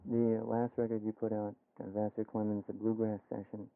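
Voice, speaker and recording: An American man hosting a casual radio interview, asking questions in a low-key, conversational way. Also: completely flat delivery, brisk, no echo. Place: radio studio. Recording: tinny, phone-like vintage radio aircheck.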